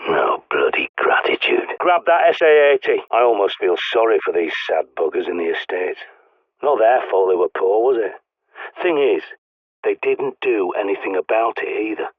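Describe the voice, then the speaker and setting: A man's voice: on the low side, sing-song, normal speed, dry recording. A man voicing a blunt, sardonic male survivalist in a video game, with a British accent, guiding someone over the radio. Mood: grumbling. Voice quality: gruff, sardonic, swears often. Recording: dry tinny radio-like line.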